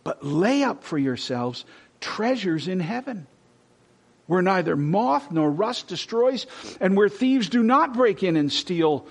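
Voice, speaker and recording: Husky-voiced preacher, hushed to booming. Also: male voice, big pitch swings, slow. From Texas. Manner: swings from loud scripture reading to hushed whispers. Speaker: pastor. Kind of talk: sermon.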